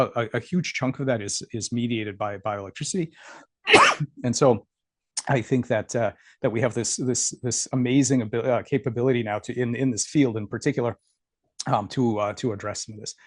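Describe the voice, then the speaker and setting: A man giving a scientific lecture over slides, halting with many fillers and repeats, and losing his voice. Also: mid-range voice, fairly even intonation, fairly bright tone, no echo, studio quality. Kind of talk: academic talk over slides.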